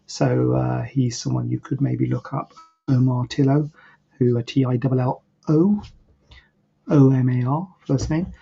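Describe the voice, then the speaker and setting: Man, very gravelly, husky, croaky, rambling with fillers, dull lo-fi livestream audio. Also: mid-range voice, fairly even intonation, unhurried, mellow. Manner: rambling, hesitant, many fillers and restarts. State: a bit croaky, clearing throat. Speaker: plastic surgeon hosting a live Q&A. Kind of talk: casual live Q&A answering viewer questions.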